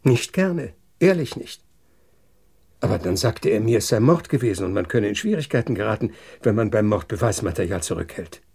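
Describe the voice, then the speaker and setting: An actor in a German radio drama playing a meek, uneasy witness, halting and defensive, with a husky voice. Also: male voice, fairly low pitch, lively intonation, a little mellow, exaggerated voice. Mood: uneasy, apologetic. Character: meek, uneasy male witness, reluctantly explaining himself.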